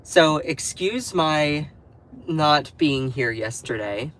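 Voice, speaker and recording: A man's voice: a little high, animated, slow pace, fairly bright tone, rough recording. A man living in North Carolina, sounding a bit nasal as he recovers from a cold, hosting a casual political livestream and talking to his chat. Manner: chatty, addresses the live chat directly. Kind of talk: casual live commentary.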